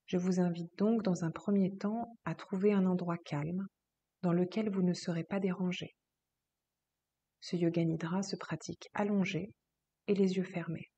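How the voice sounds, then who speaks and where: A woman yoga teacher leading a guided relaxation meditation, speaking slowly and calmly in a soft, husky voice with long pauses. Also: lowish voice. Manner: slow, calm, soothing instruction with long pauses.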